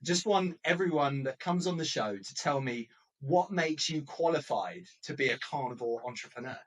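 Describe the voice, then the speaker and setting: A man's voice: mid-range voice, lively intonation, moderate pace, a bit bright. A male podcast host with a mid-range voice sounds upbeat and encouraging as he talks with his guest. His voice comes from a little way off the mic. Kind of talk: casual interview talk. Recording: voice a bit distant from the mic.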